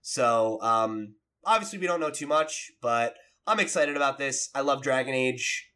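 A man's voice: medium pitch, lively intonation, moderate pace, bright, forceful tone, clear, resonant tone. A man co-hosting a casual gaming podcast, chatting informally and freely using swear words. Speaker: podcast co-host.

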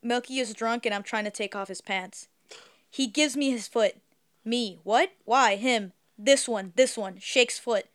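A woman's voice: a little high, measured pace, dry recording, clean studio sound. A woman chatting playfully with friends, sometimes reading from her notes. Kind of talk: casual podcast chat.

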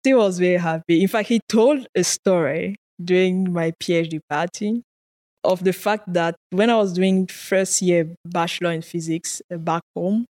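A woman's voice: fairly low pitch, moderate pace, darkish tone. Woman, earnest. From Cameroon. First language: French. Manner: earnest storytelling, occasional laughs and repeated words. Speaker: guest research scientist. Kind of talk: conversational interview answers.

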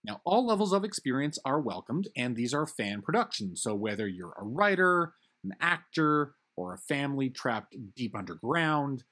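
Male producer, scripted outro and credits; upbeat, conversational, husky. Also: mid-range voice, fairly bright tone. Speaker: producer reading the closing credits.

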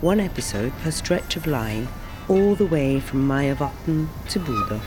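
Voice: mid-range voice, lively intonation, unhurried, music in the background. A narrator reading scripted narration for an audio drama trailer and historical account.

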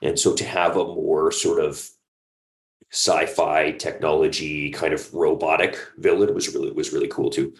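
A very low-pitched man speaks in an echoey room. He talks in a casual interview style, enthusiastic and rambling, with lots of "like" and "you know". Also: flat delivery, normal speed. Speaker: audio drama creator and producer, guest.

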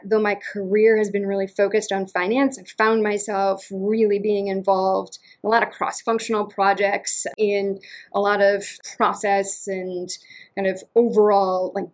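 A woman in her thirties from Western Massachusetts with a slight local accent, talking as an interview guest in an upbeat, enthusiastic way. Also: mid-range voice. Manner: upbeat, enthusiastic, quick bursts. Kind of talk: casual interview.

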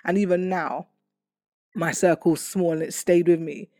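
A woman's voice: on the low side, steady tone, unhurried, no echo, studio quality. A woman giving a personal testimony, talking candidly and reflectively with many fillers and restarts. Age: adult. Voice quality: loud voice. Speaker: testimony speaker.

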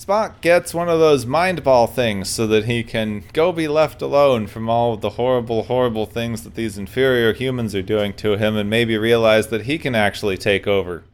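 Smooth-voiced man with dry podcast jokes. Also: mid-range voice, moderate pace, hardly any pauses. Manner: dry, joking riffs. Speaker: podcast co-host. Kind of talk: casual podcast chat, mock game-show hosting.

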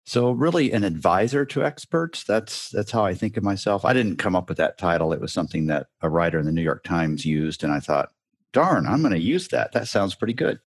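A man's voice: deep voice, lively intonation, fairly quick, dry recording, studio quality. A man, a business advisor, talking as a guest in an interview, wry and self-deprecating with frequent chuckles. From Central America. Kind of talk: casual interview talk.

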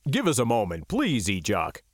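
Calm audiobook commander acted by a male narrator, calculating, terse, authoritative, dry studio sound. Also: fairly low pitch, moderate pace, dry recording. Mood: calm, quiet.

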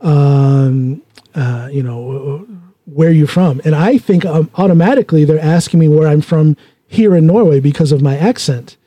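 An American man hosting a podcast, chatting in animated quick bursts and laughing easily. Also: a little high, dry recording. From United States. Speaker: podcast host. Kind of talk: casual interview chat.

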